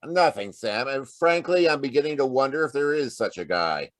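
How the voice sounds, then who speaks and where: Male actor puzzled homicide cop, questioning tone, lively intonation, medium pitch, 1940s radio style. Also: moderate pace, no room sound, lightly acted. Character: police homicide lieutenant, puzzled, slow on the uptake. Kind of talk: old-time radio detective acting.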